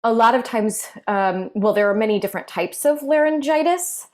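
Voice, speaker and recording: A woman voice clinician giving measured, explanatory interview answers with frequent fillers. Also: fairly high pitch, lively intonation. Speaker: voice clinical specialist.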